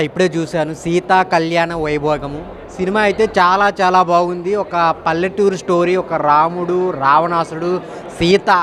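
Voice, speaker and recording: A man's voice: high voice, a bit bright. A man giving a casual public review to camera as a moviegoer.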